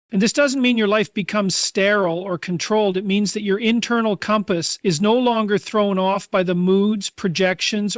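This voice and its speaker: A man with a high-pitched voice narrates a scripted motivational speech in a very dry recording. He addresses the listener directly, with earnest, measured phrasing. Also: no echo. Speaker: motivational speaker.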